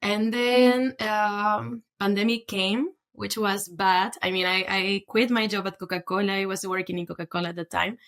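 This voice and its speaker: A woman's voice: mid-range voice, fairly even intonation, moderate pace, few pauses, fairly bright tone. A young woman from Peru, a podcast guest who tells her story in a chatty way, with many fillers. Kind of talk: conversational storytelling.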